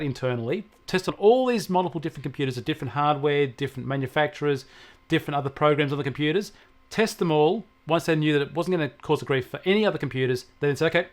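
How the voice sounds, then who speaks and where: An Australian man giving conversational technology advice as an expert, emphatic and repeating points to stress them. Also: on the high side. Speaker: technology expert answering questions.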